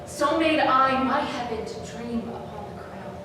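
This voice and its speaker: A woman acts out a haunted, power-hungry female king in a staged Shakespeare soliloquy. The high voice moves with slow, dramatic deliberation. It is heard from a distance in a very echoey space, with clear background noise. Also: expressive. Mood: defiant, brooding. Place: live staged reading in echoey room. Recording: echoey room, distant mic, noisy.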